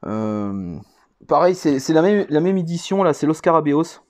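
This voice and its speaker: A man's voice: on the high side, sing-song, moderate pace, darkish tone. A man giving a casual, unscripted show-and-tell as a vlogger, speaking hesitantly and rambling, often repeating himself. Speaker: vlogger presenting a card collection.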